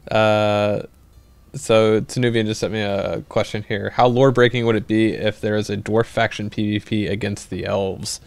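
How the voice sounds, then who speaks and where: A man's voice: fairly low pitch, steady tone, measured pace, vocal fry, clear, resonant tone, no echo. A young man hosting a livestream, chatting casually as he answers viewer questions, rambling with lots of fillers and restarts and laughing easily. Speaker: livestream host.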